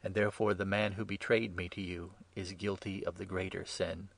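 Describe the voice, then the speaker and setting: A man voicing a calm, condemned holy man, quiet and measured, in a dramatised scripture reading. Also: deep voice, vocal fry. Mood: calm, grave.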